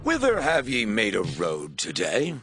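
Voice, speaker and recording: A man playing a commanding foreign king with a husky voice in a dramatized scripture recording. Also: on the low side, wildly swooping pitch, a little slow, fairly bright tone, full character voice. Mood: questioning. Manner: authoritative, declamatory.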